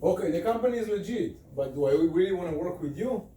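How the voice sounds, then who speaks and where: Israeli guy teaching sales, animated, heard from far off with a faint hiss. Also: sits high, quick pace, echoey room. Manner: animated, talks with his hands while pacing. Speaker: sales trainer. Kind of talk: sales training session. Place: small office room with whiteboard. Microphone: far camera.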